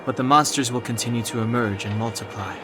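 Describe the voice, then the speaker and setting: Man acting level-headed companion, serious, deadpan-dry game dialogue, mid-range male voice. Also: mid-range voice, fast talker, music in the background, lightly acted. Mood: grave. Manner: terse, dry, sober.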